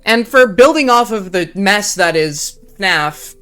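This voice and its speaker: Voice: mid-range voice, expressive, slow pace, sharp tone, clean tone, faint music behind. A streamer wrapping up a live game session in casual chat, talking freely with lots of "like" and swearing.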